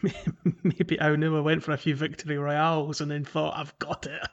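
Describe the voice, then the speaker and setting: A man's voice: on the high side, monotone, moderate pace, highs cut off. A man hosting a gaming podcast in a casual, chatty and jokey way. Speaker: podcast host.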